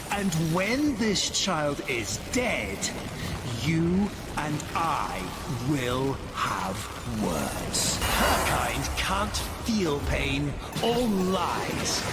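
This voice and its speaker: An actor playing a cruel, possessive, manipulative tyrant in a video game cutscene, with a melodramatic, sneering, self-pitying delivery. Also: male voice, fairly high pitch, heavily acted. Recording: loud music under the voice. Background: loud cinematic music.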